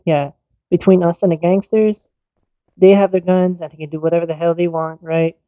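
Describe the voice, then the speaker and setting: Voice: mid-range voice, level tone, moderate pace, no room sound. A person speaking informally and emphatically, with lots of "like", in a casual meeting over online game voice chat. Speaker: player in an online roleplay game's EMS faction. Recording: dry, tinny phone-like voice chat.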